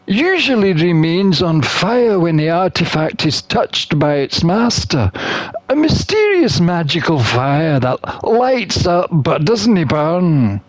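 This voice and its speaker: A gamer reading a visual novel aloud as expressive scripted narration, with theatrical, deliberate phrasing. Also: mid-range voice, dry recording, poor audio.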